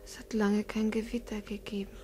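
An actress playing a frightened young daughter in an old radio drama, speaking in a fearful whisper. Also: female voice, medium pitch, flat delivery, normal speed, dark tone, close to an everyday voice. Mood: dread. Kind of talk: 1950s radio drama acting. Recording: old 1950s radio recording with hiss.

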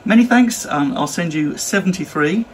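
A man's voice: high voice, expressive, normal speed, a little hiss or hum, poor audio. A British man giving an instructional demonstration as an amateur radio operator and trainer. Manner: spells call signs in phonetic alphabet.

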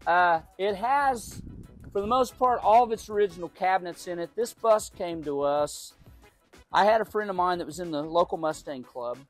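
A middle-aged man from Oklahoma giving a casual, folksy tour of the cars in his large garage, with an unhurried manner and frequent small restarts. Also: high-pitched, soft background music. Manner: folksy, unhurried, repeats words while thinking. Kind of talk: casual show-and-tell.

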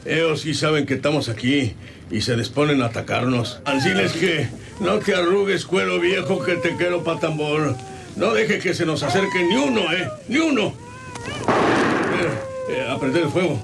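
An actor playing a gruff, practical, scolding ranch man with a rural Mexican accent in a radio drama. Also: on the high side, moderate pace. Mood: urgent, resigned. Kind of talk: radionovela acting. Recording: noisy old radio drama recording.

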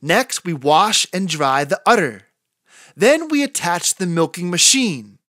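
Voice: mid-range voice, sing-song, unhurried, a bit bright, dry recording, studio quality. A narrator reading a children's picture book aloud in a careful storytelling manner for young listeners.

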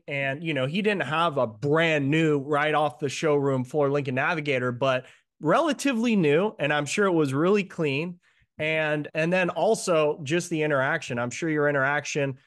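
A man's voice: on the high side, a bit bright. Upbeat man hosting a video-call interview. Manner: upbeat, encouraging, quick recaps. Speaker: host, limo marketing coach. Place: room at home. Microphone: earbuds on a video call.

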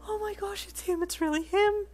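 Woman playing a giddy, breathy starstruck young woman. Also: a little high, fast talker, soft background music, voice pushed up, natural voice. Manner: breathy, excitable.

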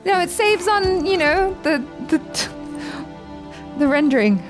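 A woman's voice: extremely high pitch, very animated, measured pace. A young woman chatting casually as she reacts to a video game on a headset mic. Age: young adult. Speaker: reaction show host. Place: indoor streaming setup. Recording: loud game music underneath.

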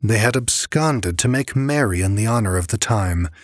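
A man narrating a gothic audiobook in a measured, theatrical, brooding manner. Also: fairly low pitch, animated, dry recording, clean studio sound. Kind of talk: dramatic scripted gothic narration.

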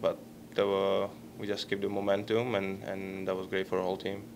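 A young man in his twenties with a Czech accent, answering postgame questions at a press table microphone, halting and searching for words. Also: low-pitched, completely flat delivery, slight background noise. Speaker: hockey player at a postgame press conference. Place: press conference room.

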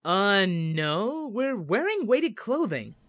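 A man's voice: high voice, very animated, slow pace, background noise, exaggerated voice. A man voicing a cheerful, naive, dim-witted young martial arts hero in a parody anime dub, childlike and innocently blunt. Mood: puzzled.

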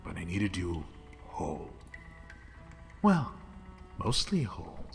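A creepy male plague villain, played by a man in a roleplay audio drama, drawling slowly and whispering with relish. His pitch swoops wildly between hushed menace and shrill sing-song taunts. Faint music plays underneath. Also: big pitch swings, creaky, heavily acted. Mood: gloating. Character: obsessive, contemptuous male plague-breeding villain.

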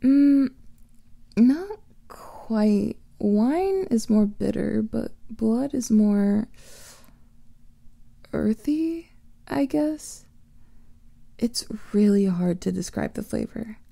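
A woman voicing an affectionate female vampire lover in a whispered ASMR roleplay, speaking softly and intimately close to the mic. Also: fairly high pitch, expressive, very slow, vocal fry, pauses often, no room sound. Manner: soft intimate whispering, doting.

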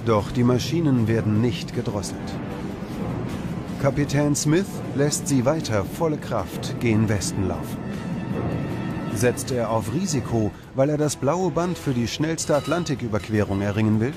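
A man reading scripted documentary narration in a measured, dramatic manner. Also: mid-range voice, animated. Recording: music under the voice. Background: background music.

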